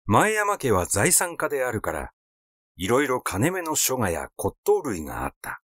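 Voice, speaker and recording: Male narrator, literary read-aloud, animated pitch movement, close dry recording. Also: normal speed, no room sound. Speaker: audiobook reader.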